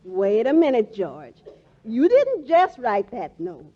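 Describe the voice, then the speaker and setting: Earnest middle-aged wife, melodramatic, high pitch, lively intonation, folksy, vintage radio comedy. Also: female voice, slow pace, exaggerated voice. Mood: fond. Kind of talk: 1940s radio comedy acting. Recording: muffled vintage 1940s radio broadcast.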